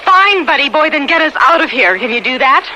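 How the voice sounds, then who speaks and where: A woman's voice: sits high, lively intonation, sharp tone. An American actress playing a plucky, wry, teasing woman in a radio drama, with sardonic banter. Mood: annoyed. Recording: noisy old radio broadcast with rushing background.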